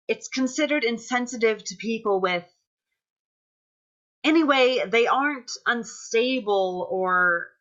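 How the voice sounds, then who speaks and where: A woman acting in a play reading as an anxious, conciliatory friend, halting and apologetic as she placates. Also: mid-range voice, bright, forceful tone, muffled recording. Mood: earnest, hesitant. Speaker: actor. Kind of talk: staged play reading, naturalistic dialogue.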